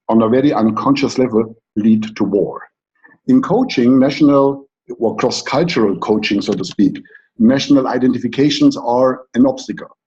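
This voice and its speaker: A middle-aged man with a German accent speaks in a mellow, dark tone, unhurried and reflective, pausing between phrases as he explains his ideas to listeners. The recording is somewhat dull and lo-fi. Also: medium pitch. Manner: slow, deliberate, reflective delivery with pauses. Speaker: coach. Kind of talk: lecture-style talk.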